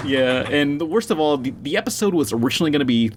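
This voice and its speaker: A man's voice: on the high side, lively intonation, fast, crowd noise. A man hosting a casual panel podcast over an online call, talking in a blunt, profane, rambling way. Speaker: podcast host.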